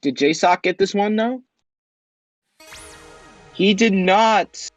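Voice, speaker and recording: Upbeat quiz host with a higher voice. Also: male voice, highs cut off, soft background music. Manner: upbeat, drawn-out question reading with dramatic pauses. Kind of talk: hosting a voiced game show on a video game platform.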